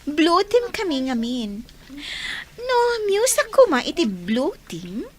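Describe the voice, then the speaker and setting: A woman's voice: high voice, huge pitch swings, takes it slowly, heavily acted. A woman playing a catty, scheming teenage schoolgirl in an audio drama, with a sassy, theatrical delivery. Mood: sassy, teasing.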